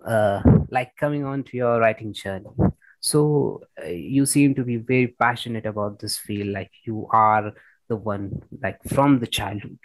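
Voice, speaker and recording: A man's voice: lowish voice, no echo, low-quality recording. A man hosting a conversational interview, effusive and often repeating and rephrasing himself as he goes. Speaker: interviewer, host.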